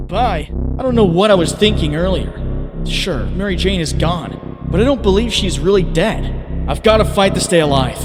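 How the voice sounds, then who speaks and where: An actor voices a young male superhero in a comic dub. He is earnest, wry and determined, with a very expressive pitch. Loud synth music plays behind. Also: natural voice. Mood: desperate determination.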